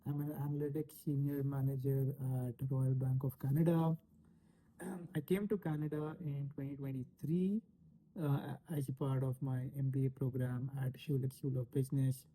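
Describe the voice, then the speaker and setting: A man in his late twenties from India speaks with an Indian accent. His voice is very warm and dark, and he answers conversationally in measured, broken-up phrases. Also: medium pitch, level tone, slight background noise, poor audio. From Delhi, India. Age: late 20s. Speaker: panel guest, analytics manager. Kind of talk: conversational self-introduction and answers.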